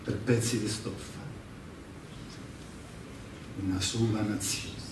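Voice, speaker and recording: A man reciting patriotic verse on stage in a solemn, declamatory manner, with pauses between phrases. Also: fairly low pitch, little pitch movement, noisy background. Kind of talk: theatrical patriotic poetry recitation. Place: large echoing performance venue, live show. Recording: very echoey hall, distant mic, music.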